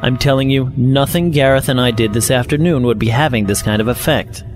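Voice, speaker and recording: Male actor as composed science professor, formal and explanatory, articulate, defensive, sci-fi audio drama, loud music behind. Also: mid-range voice, brisk, natural voice.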